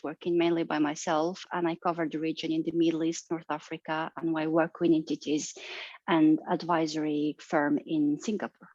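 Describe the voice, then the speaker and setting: A woman's voice: on the low side, a little mellow, dry recording. A woman in her thirties, a tax consultant, introducing herself formally on a video call. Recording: dry, dull lo-fi call audio.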